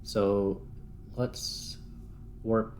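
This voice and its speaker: Male gaming host, lower register, casual think-aloud play commentary, faint noisy backdrop. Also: little pitch movement, extremely slow, darkish tone. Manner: thinks aloud while playing. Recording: clear background noise.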